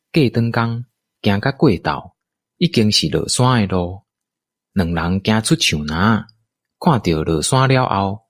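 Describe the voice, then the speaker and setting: A man's voice: sits low, no echo, studio quality. A man with a Taiwanese accent narrating a scripted radio drama as a storyteller.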